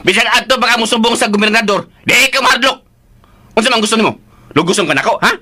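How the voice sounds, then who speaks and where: An actor with a Cebuano accent playing a folksy, feisty and protective uncle who teases and blusters in a radio drama. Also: male voice, high-pitched, fast, pushed and bright, exaggerated voice. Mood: defiant, angry. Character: feisty, teasing, protective uncle who stands his ground.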